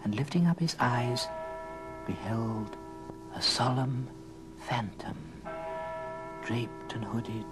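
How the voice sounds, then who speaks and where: A man narrating an old radio drama as a dramatic storyteller, with theatrical, deliberate phrasing. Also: medium pitch, natural voice, poor audio. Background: music.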